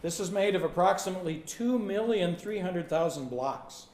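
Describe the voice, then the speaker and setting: A man in his sixties, a pastor teaching a Bible lesson in short phrases and pausing for an interpreter, with a friendly, plain-spoken manner. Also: high-pitched, animated, a little mellow. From United States. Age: 60s. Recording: roomy hall with faint ambience.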